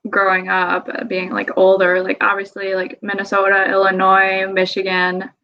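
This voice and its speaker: A woman's voice: medium pitch, monotone, moderate pace, gravelly. A young woman from Wisconsin with a Wisconsin accent, chatting as a podcast guest, giggly and full of fillers. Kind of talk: casual interview chat. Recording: dull lo-fi sound.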